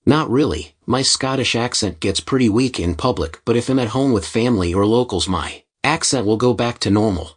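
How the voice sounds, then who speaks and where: A man narrating online posts as a steady, scripted story reading. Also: mid-range voice, normal speed, hardly any pauses, husky.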